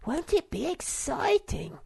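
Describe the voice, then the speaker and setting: Sniff, a woman doing a squeaky excitable little creature. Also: slow pace, creaky, full character voice. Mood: excited. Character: small excitable boastful male creature Sniff. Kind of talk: audiobook character voice.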